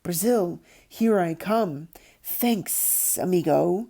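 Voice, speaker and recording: A big storybook snake, voiced by a woman, wry and friendly. It speaks in a low, hissing, mellow voice. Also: lively intonation, slow, gravelly, full character voice. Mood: sly, pleased. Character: large, friendly, wry boa constrictor snake.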